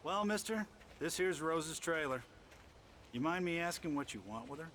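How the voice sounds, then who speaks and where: A folksy American trailer park manager in a game. His voice is fairly high and very animated, plain-spoken and neighborly, over faint background noise. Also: moderate pace.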